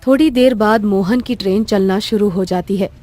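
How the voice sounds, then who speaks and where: A woman's voice: mid-range voice, moderate pace, warm tone. A woman storyteller reading scripted narration for a cartoon tale. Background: faint music.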